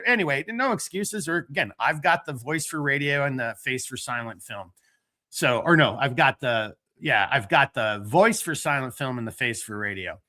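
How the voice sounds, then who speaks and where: A man hosting a casual late-night talk show, rambling and animated, stopping to tell dad jokes. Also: fairly high pitch. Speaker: podcast host.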